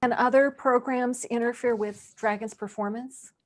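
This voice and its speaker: A woman's voice: fairly high pitch, steady tone, moderate pace. A woman hosting an interview in a conversational style. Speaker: interviewer, host.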